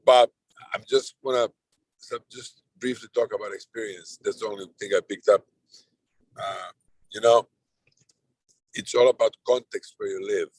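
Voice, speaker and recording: A middle-aged man with a Serbian accent moderates a conference roundtable over a video call. His voice sits fairly low and has a husky edge. He talks in a loose, rambling way, often restarting his sentences, and the sound is dry and close. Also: fairly even intonation, normal speed, long pauses, dry recording, poor audio. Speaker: conference chair.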